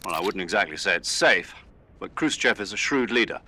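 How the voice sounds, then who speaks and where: A man playing an authoritative military commander in a video game, giving grave, measured mission briefings. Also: deep voice, expressive, bright, forceful tone, full character voice. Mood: grave, urgent.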